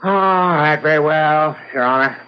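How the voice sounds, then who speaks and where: Man acting a high-pitched, combative prosecutor. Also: measured pace, smooth, close to an everyday voice. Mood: formal. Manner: indignant, challenging, formal. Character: combative, skeptical male courtroom prosecutor. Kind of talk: old-time radio drama acting. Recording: dull vintage radio broadcast recording.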